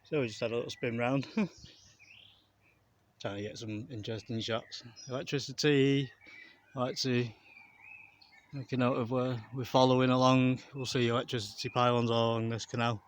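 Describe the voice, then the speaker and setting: A man's voice: medium pitch, flat delivery, normal speed, long pauses. An English man with a slight Mancunian lilt gives a casual, rambling, musing history commentary while walking outdoors. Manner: conversational, digressive, musing. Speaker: vlogger and documentary narrator. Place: outdoors along a rural canal path. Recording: noisy outdoor recording with wind and birds. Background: wind, birdsong, outdoor noise.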